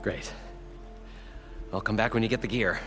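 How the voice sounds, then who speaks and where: Man playing a deep-voiced theatrical inventor. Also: normal speed, lightly acted. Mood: matter-of-fact, eager. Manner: dramatic, flowery storytelling. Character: eager, theatrical male inventor and showman chasing treasure. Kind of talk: video game cutscene acting. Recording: music behind the voice.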